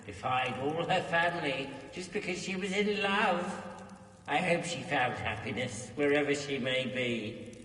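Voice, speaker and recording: A man's voice: fairly high pitch, lively intonation, measured pace, sharp tone. A man playing a bluntly spoken ghost in a video game, with an old-fashioned, declamatory manner. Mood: candid, wistful. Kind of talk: scripted game dialogue. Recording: distant, echoing hall reverb with noise.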